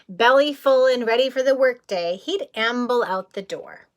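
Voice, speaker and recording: A woman teacher reading a picture book aloud to young children, expressive, with casual asides and questions to the listeners. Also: on the high side, measured pace. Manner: expressive, addresses children with questions and simple explanations.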